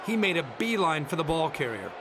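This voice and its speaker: A man's voice: a little high, big pitch swings, brisk, crowd noise, noisy background. A man playing a color commentator giving analysis in a football video game. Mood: admiring, analytical.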